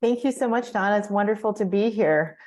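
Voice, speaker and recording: Midwest woman on a panel, halting, lots of um and you know. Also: medium pitch, darkish tone. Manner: hesitant, many ums and you knows. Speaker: guest panelist.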